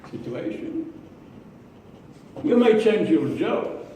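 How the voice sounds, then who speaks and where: A man with an Israeli accent gives a prepared speech at a slow, measured pace, pausing and repeating words as he goes. His voice is mellow and a little higher than most men's. It sounds recorded from across an echoey meeting room, with a faint hum of the room behind him. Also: big pitch swings, distant mic. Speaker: club speaker.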